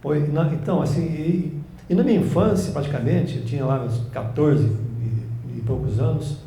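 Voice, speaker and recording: A man in his sixties from Brazil, a journalist guest telling family stories in a relaxed interview, halting and restarting often. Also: fairly high pitch. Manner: rambling, halting storytelling with many restarts. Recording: echoey room, faint background noise.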